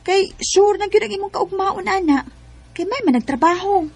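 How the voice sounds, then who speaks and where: A young Bisaya Filipino woman talks like a close friend. She is colloquial, practical and gently persuasive, in radio drama style. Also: extremely high pitch, moderate pace. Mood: encouraging.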